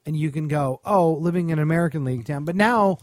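Podcast guy, bit of a high voice, sardonic n opinionated, just chatting, super dry recording. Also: fast talker, a little mellow, no room sound. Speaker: podcast co-host. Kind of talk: casual chat about film and television.

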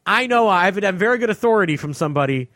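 A man's voice: high-pitched, animated, fast talker, fairly bright tone, no echo, studio quality. An American man hosting a podcast, chatting casually and telling stories in an informal, rambling, sweary way. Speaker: podcast host and touring comedian.

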